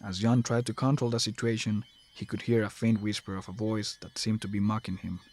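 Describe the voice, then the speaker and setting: A man with a fairly low voice delivers scripted story narration for an audio drama. He reads as a narrator, telling the tale in a dramatic storytelling style. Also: level tone, fairly quick, darkish tone.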